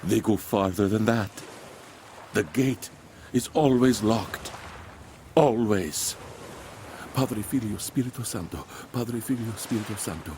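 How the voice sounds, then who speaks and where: A man's voice: lowish voice, unhurried, long pauses, darkish tone, natural voice. Man as fearful superstitious father, noisy ambience. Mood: alarmed, grave. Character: fearful, superstitious Italian father, older labourer. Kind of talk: audio drama acting.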